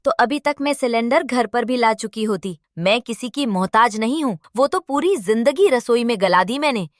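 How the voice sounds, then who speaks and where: Woman doing bossy indian mom in a family story, kinda high voice, scolds and snaps back, dry sound. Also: animated, no echo, lightly acted. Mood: defensive, proud. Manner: scolding, indignant, quick to retort. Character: feisty middle-aged Indian mother, proud, argumentative homemaker. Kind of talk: Hindi family-drama story dub.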